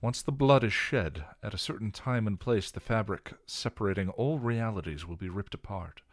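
A man voicing a stern, scholarly older man who explains things in a grave, measured, lecturing way. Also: fairly low pitch, no room sound, clean studio sound, voice pushed up, natural voice.

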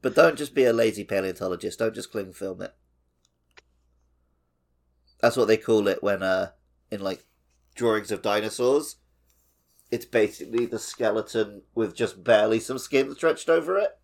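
Low-voiced man chatting, slightly creaky. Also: moderate pace, long pauses. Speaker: podcast co-host. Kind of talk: casual chat.

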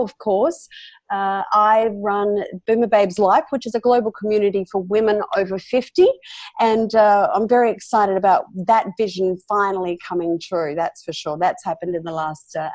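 A woman's voice: mid-range voice, a little mellow, no echo, lo-fi sound. An Australian woman in her fifties, a founder and media commentator, talking chattily as a podcast guest with many fillers and restarts. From South Australia. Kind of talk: casual interview talk.

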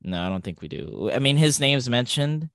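A man's voice: mid-range voice, big pitch swings, normal speed, a bit bright, no room sound, studio quality. A man co-hosting a podcast in casual discussion, thinking aloud and often hesitating or correcting himself. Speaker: podcast co-host.

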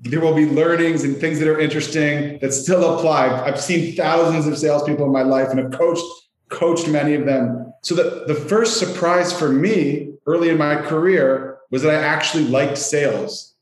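A man's voice: fairly high pitch, steady tone, moderate pace. Echoey Canadian man, interview guest. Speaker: sales executive, podcast guest. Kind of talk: conversational interview answers. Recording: roomy, echoey sound.

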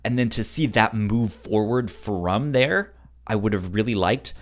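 A man co-hosting a podcast, chatting casually and haltingly, often stuttering over repeated syllables. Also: lowish voice, expressive. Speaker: podcast host. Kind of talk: casual chat about a cartoon series.

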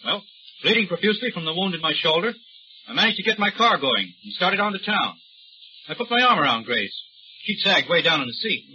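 An actor playing a nervous, indignant young murder suspect who talks defensively in a 1930s radio drama. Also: male voice, high voice, big pitch swings, fairly quick, a little hiss or hum. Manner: defensive, indignant, rising to panic. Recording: dull old radio recording.

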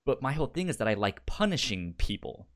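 A man's voice: medium pitch, big pitch swings, a little fast, fairly bright tone. A man co-hosting a podcast in casual discussion, stuttering often as he repeats sounds and syllables. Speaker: podcast co-host.

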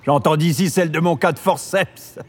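An actor playing a jaded artist who talks in a mocking, sardonic way. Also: male voice, on the high side, moderate pace, breathy edge, faint music behind. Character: sardonic jaded male painter.

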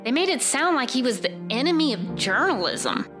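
A woman's voice: high voice, big pitch swings, normal speed. A woman playing a wistful news producer giving an earnest, reflective monologue in an audio drama. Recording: music under the voice.

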